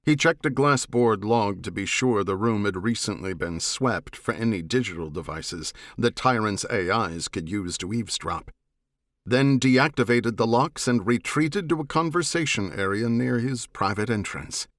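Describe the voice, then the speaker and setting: Low, dry-recorded male audiobook narrator. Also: animated, dry recording. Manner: measured, dramatic, serious. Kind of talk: scripted narration of science fiction novel.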